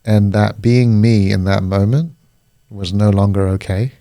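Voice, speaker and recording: A British man with a fairly low voice talks things through slowly and reflectively. He is a coach and a podcast guest, and he pauses to find his words. The sound is close and dry. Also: fairly even intonation, mellow, no room sound. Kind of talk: casual podcast conversation.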